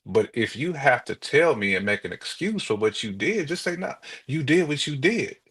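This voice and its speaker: A man's voice: medium pitch, sing-song, moderate pace, fairly bright tone, husky. A man in a casual, opinionated chat, speaking colloquially and emphatically and repeating his points.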